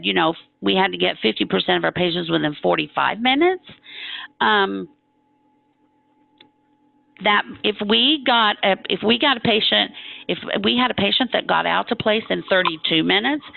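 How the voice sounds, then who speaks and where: A woman giving an informal explanatory presentation on a conference call as a hospital stroke coordinator, conversational and emphatic, often halting and correcting herself, over a thin telephone line. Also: mid-range voice, creaky, dry recording.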